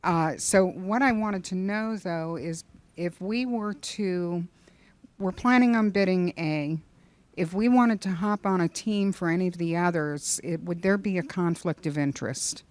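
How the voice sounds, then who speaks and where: Lower-voiced woman consultant asking questions. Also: a little slow, a little mellow, no echo. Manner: apologetic, direct.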